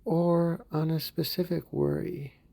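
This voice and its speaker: A man guides a mindfulness meditation for a therapy group with an unhurried, very slow delivery. His voice is deeply gravelly and husky, with a mellow, dark tone. He stays gentle throughout and often sinks into soft whispers. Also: flat delivery.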